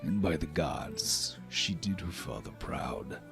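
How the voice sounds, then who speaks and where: A man's voice: animated, slow pace, gravelly, music in the background, full character voice. A man voicing a proud, fierce giant warrior chieftain in a declamatory, theatrical tabletop game style. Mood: proud, boastful. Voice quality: low growl when angered. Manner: declamatory, theatrical, old-fashioned oaths.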